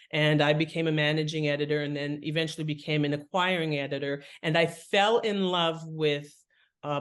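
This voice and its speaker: Middle-aged American woman, conversational and reflective. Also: deep voice. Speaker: podcast guest, author and editor. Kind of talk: conversational interview answers.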